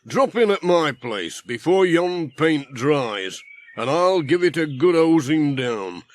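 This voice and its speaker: A man's voice: fairly high pitch, animated, slow pace, pitched above the performer's own voice. A man voicing a breezy, slapdash village handyman with blunt, offhand rustic talk for a children's audiobook. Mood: unbothered.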